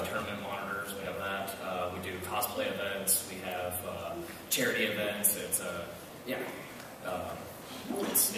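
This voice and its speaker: Fast-talking deep-voiced man in a hall. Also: noisy background. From Kansas, USA. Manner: quick and eager, lots of fillers. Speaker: panelist, bar owner. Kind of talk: convention panel talk. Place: large convention hall. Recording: echoey hall mic from far off.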